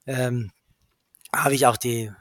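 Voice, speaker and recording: A man in his forties who grew up in England, a podcast guest chatting casually, rambling, restarting his sentences and talking in quick bursts. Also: medium pitch, fairly even intonation, a bit bright, no room sound, clean studio sound. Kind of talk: casual conversation.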